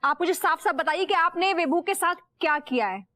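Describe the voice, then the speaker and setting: A woman's voice: very high-pitched, animated, brisk, sharp tone, a little hiss or hum, full character voice. An actress playing a polished, insistent modern wife who mixes English words into her speech.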